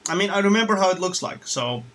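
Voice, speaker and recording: A man's voice: sits high, expressive, fast talker, pushed and bright. A man from Romania chatting casually on a live stream while flying a simulator, rambling and restarting his sentences. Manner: rambling, hesitant, many restarts. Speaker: flight sim streamer.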